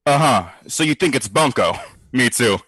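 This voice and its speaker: An actor playing a brash, sardonic skeptic who talks in slangy, theatrical quips in an audio drama diary. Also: male voice, mid-range voice, expressive, moderate pace, a bit bright, slightly rough, dry recording. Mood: cocky, prodding. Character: brash wisecracking skeptical man, boozy ghost-study participant.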